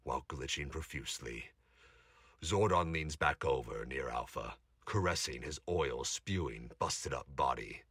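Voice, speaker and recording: A man's voice: very deep voice, measured pace, a bit bright, slightly rough, dry recording, clean studio sound. A man narrating a scripted audio drama in a dramatic storytelling style. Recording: noisy home recording with clicks.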